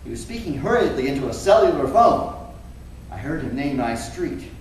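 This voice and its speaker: A man's voice: mid-range voice, moderate pace, natural voice. A man playing a wry, exasperated cat owner, telling a comic first-person story theatrically in a stage read-through. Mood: wry narrating. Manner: animated, expressive delivery. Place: indoor theater stage, recorded from afar. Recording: echoey theater, distant mic, faint noise.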